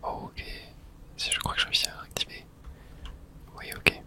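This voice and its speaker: A man gives video game commentary in a steady ASMR whisper. He speaks almost entirely without voice, murmuring short, fragmented reactions to himself as he plays. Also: moderate pace.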